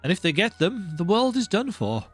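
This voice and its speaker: A man's voice: sits high, big pitch swings, moderate pace, breathy edge, voice pushed up, exaggerated voice. A man voicing earnest, urgent heroes in dramatic dialogue from a fantasy role-playing game. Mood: grave, urgent. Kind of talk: voiced game dialogue reading. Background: video game music.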